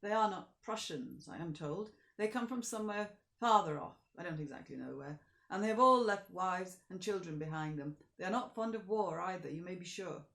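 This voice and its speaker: A woman's voice: fairly low pitch, lively intonation, moderate pace, creaky, a bit bright, echoey room, pitched above the performer's own voice. A woman reading a storybook voices an old village beadle who earnestly explains things in a kindly, reasonable way.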